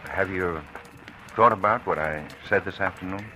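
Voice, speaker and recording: An actor playing an earnest, courteous suitor with a gentle, attentive manner, in a 1940s radio drama style. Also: male voice, low-pitched, normal speed, background noise, natural voice. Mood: tender. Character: earnest, gallant male suitor. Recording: old radio drama recording, music underneath.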